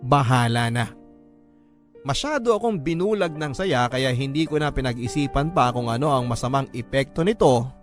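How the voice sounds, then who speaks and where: A Filipino man narrates a scripted, first-person radio drama story. His voice sits at an average pitch for a man. Soft music plays behind him. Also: lively intonation, moderate pace. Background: background music.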